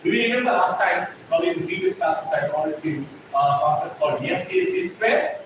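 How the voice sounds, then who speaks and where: High-voiced man lecturing a class. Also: huge pitch swings, fairly quick. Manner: emphatic, repeats points, asks class if clear. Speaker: trainer teaching a class. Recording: echoey classroom, distant mic, noisy.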